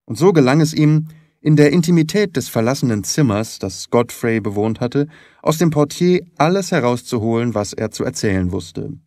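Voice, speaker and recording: A man narrating a detective story from a script in a measured, expressive storytelling manner. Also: mid-range voice, no echo, clean studio sound. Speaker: audiobook narrator.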